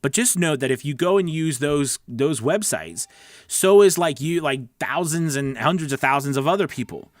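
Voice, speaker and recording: A man's voice: a little high, lively intonation, moderate pace, no room sound, studio quality. A man giving casual, conversational instruction as a livestream coach, often checking in with his listeners. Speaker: voice-over coach hosting a weekly livestream.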